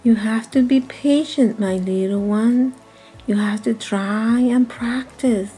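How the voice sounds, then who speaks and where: A woman's voice: a little high, slow pace, mellow, slightly rough, soft background music, exaggerated voice, low-quality recording. A woman voicing a patient, protective mother bird in a storybook, firm and motherly as she instructs. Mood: patient, soothing. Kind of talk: dramatized picture-book storytelling.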